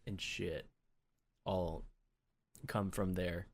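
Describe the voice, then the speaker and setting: A man's voice: sits low, steady tone, drawn-out words. A man co-hosting a gaming podcast, chatting casually and breaking into laughter often. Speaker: podcast co-host.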